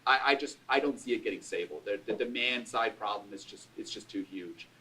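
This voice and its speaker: A man's voice: fairly low pitch, brisk, bright, forceful tone. A man on a panel giving his views in a casual way, with lots of "like" fillers. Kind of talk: panel discussion. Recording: distant room mic.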